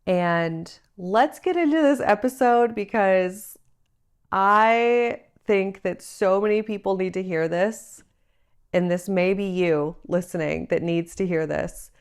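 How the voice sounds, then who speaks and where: A woman's voice: medium pitch, slow pace, smooth. A woman in her thirties hosting a solo advice podcast, a little congested from a cold, talking in an earnest, encouraging way on a travel mic. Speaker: podcast host. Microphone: travel microphone, not her usual one.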